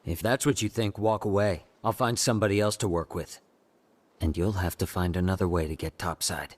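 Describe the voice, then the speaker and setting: An actor playing a cool, guarded underworld operator in a video game, terse and unruffled. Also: male voice, deep voice, steady tone, fairly quick. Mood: coolly indifferent.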